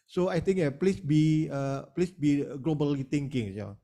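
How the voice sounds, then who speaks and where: Guy giving webinar feedback, mellow dark tone, lots of uh and yeah, repeats himself. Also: a little high, normal speed. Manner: hesitant, repeating words and many "yeah"s. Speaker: panelist. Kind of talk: webinar panel remarks.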